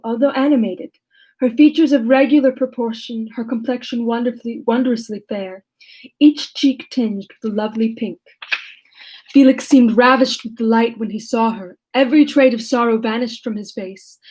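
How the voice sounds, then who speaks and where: A woman reading aloud as a lonely male creature who tells his own story in a measured, formal way. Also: fairly high pitch, a little mellow, close to an everyday voice, poor audio. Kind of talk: reading a classic novel aloud.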